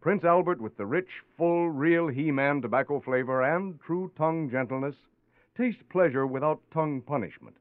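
A man's voice: a little high, moderate pace, dry recording. An American man delivering a scripted 1940s radio commercial. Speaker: advertising announcer. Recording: tinny, dry 1940s radio recording.

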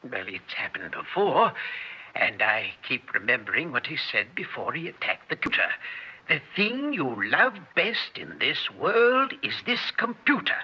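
An actor playing an earnest, uneasy scientist with formal, measured phrasing in an old-time radio drama. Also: male voice, mid-range voice, very animated, pushed and bright, husky, highs cut off, exaggerated voice. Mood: earnest, intrigued. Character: earnest, uneasy male research scientist recounting events. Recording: noisy band-limited old radio recording.

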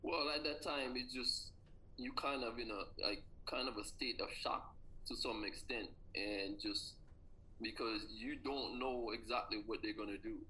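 A man's voice: mid-range voice, a little slow, sharp tone, a little hiss or hum, rough recording. A man in his forties with a Jamaican accent, a track coach and former athlete, telling earnest personal stories in an interview. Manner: earnest, reflective storytelling.

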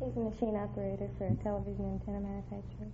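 An eighteen-year-old woman, soft-spoken, giving brief answers as a quiz show contestant. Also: medium pitch, little pitch movement, very fast. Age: late teens, 18. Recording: phone-like, noisy old radio, loud audience. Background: studio audience crowd.

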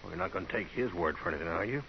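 An actor playing a smug, greedy American gambler in a 1950s radio western, mocking and combative. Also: male voice, low voice, animated, rapid-fire. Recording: dull, noisy old 1950s radio recording.

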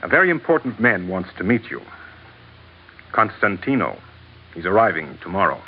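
A man's voice: sits low, big pitch swings, moderate pace, slight background noise. A man playing a smug villainous court advisor in old radio drama, mock-polite and wordy. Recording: dull old radio recording.

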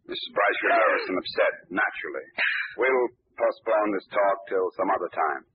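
An actor playing a brusque police commissioner questioning witnesses in a 1930s radio drama, brisk and probing. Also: male voice, fairly low pitch, wildly swooping pitch, slightly rough, exaggerated voice. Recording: tinny old radio broadcast.

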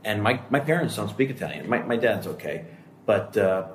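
Low-voiced man, halting, echoey room. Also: very animated. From United States. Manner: halting, repeats words while thinking. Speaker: podcast co-host. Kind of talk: casual group chat. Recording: roomy echo, faint room noise.

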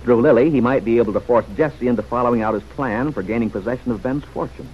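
A man's voice: medium pitch, fast. A man announcing and narrating a 1940s radio serial in a polished, persuasive style that addresses the listener directly. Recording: dull, faintly noisy old radio recording.

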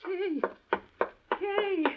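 An actress playing a frail, distressed sea captain's wife with a trembling manner, in an old-time radio drama. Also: female voice, very high-pitched, steady tone, extremely slow, loud music behind the voice, exaggerated voice. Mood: tearful, frightened. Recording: dull lo-fi vintage radio recording.

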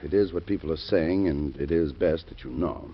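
An actor playing a cautious male politician in an old radio drama, speaking in a measured, grave way. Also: very deep voice, soft background music. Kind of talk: 1940s radio acting. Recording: dull lo-fi old radio recording.

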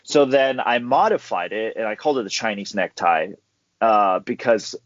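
A man's voice: fairly low pitch, moderate pace, dry recording. Laid-back young man, dry dull audio. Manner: laid-back storyteller who laughs easily. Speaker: guest, pro MMA fighter. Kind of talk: casual podcast interview.

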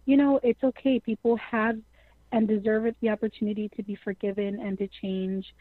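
A woman's voice: mid-range voice, little pitch movement, brisk, no echo. A woman, speaking effusively and filling her speech with "you know". She comes through a thin, phone-like line with a close, dry sound. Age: 40s. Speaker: singer, guest. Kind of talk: phone-in interview.

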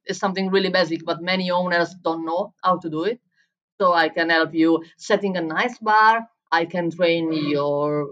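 Italian woman w/ a lowish voice, barista explaining her work in english, stops n restarts a lot, kinda dull lo-fi audio. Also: fairly bright tone. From Italy. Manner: searching for words in English, frequent restarts. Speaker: head barista and coffee coach. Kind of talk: conversational interview answers.

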